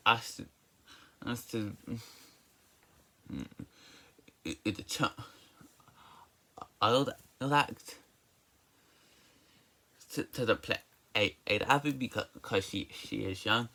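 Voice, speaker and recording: A man giving an informal spoken movie review as an amateur critic, haltingly, often stammering and repeating or restarting his words. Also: mid-range voice, a bit bright.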